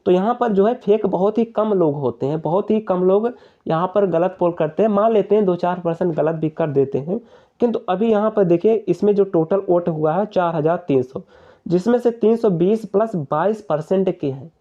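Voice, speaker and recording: Young male explainer, high-pitched, persuasive and repetitive, on a dull narrow recording. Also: normal speed. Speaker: exam-prep YouTuber. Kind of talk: informal explainer addressing viewers.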